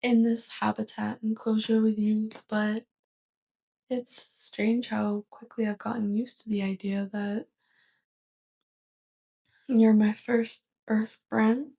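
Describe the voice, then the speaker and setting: A woman playing a sweet, curious, awkward alien roommate with a crush, speaking gently and haltingly in a soft ASMR roleplay. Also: fairly high pitch, level tone, gravelly, heavily acted. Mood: tentative, affectionate. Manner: gentle, hesitant, halting delivery.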